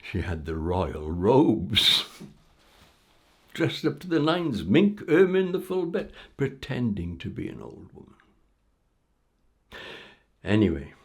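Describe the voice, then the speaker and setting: A man telling a folk tale aloud in an informal, theatrical way with dramatic pauses. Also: fairly low pitch, very animated, slightly rough. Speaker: storyteller. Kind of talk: animated oral folk-tale storytelling.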